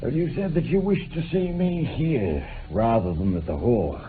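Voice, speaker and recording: A man playing a frail, weary, dying old gentleman in a radio drama, speaking earnestly in a halting, effortful way. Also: mid-range voice, lightly acted. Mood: anxious, earnest. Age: older. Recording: tinny band-limited vintage radio, faint noise.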